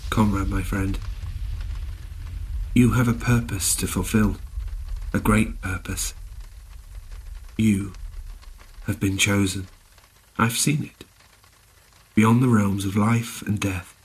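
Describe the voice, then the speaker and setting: An actor playing a calm, mysterious privateer captain in a horror audio drama, speaking in a measured, solemn storytelling manner. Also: male voice, on the low side, slightly rough, low-quality recording. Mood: solemn, prophetic. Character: calm, mysterious old privateer captain offering cryptic help.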